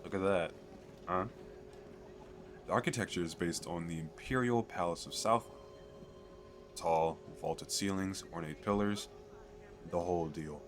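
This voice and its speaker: A man playing an eager, chatty fantasy merchant in an audio roleplay, talking the listener through a sales pitch in a hesitant, rambling, persuasive way. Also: measured pace, long pauses, over music. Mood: proud, enthusiastic. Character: eager, chatty male fantasy merchant selling magical crystal castles.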